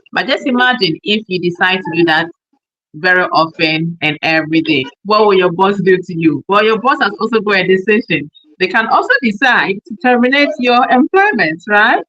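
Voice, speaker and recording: A woman's voice: lowish voice, fairly bright tone, no echo, dull audio. A woman from Ghana hosting a casual online business chat, talking earnestly and thoughtfully over a video call. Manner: earnest, reflective, explains with everyday examples. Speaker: host.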